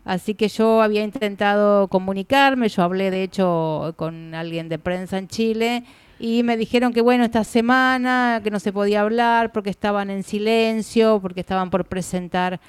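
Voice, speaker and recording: An Argentine woman co-hosting a casual industry chat, giving news in a measured way with fillers. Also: medium pitch, fairly even intonation, clear, resonant tone, no room sound. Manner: measured, informative, hesitant fillers. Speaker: co-host reporter.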